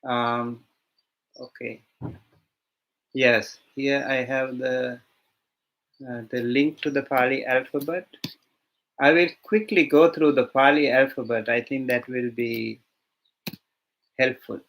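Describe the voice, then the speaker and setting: A man from Sri Lanka with a Sri Lankan accent, a monk teaching an online beginners' language lesson, unhurried with many fillers and restarts. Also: medium pitch, fairly even intonation, a bit bright, low-quality recording.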